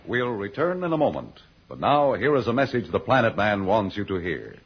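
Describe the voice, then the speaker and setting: A man's voice: lowish voice, big pitch swings, moderate pace, husky. An American man announcing and narrating an old-time radio serial in a dramatic, declamatory style. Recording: muffled vintage radio recording. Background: loud music.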